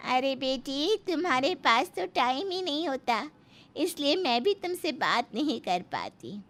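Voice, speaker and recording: Woman playing a nosy, slow-talking doting mother. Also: sits high, very animated, fairly bright tone, pitched above the performer's own voice, exaggerated voice. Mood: affectionate, complaining. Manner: prying, motherly. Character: nosy, doting middle-aged mother of a married daughter.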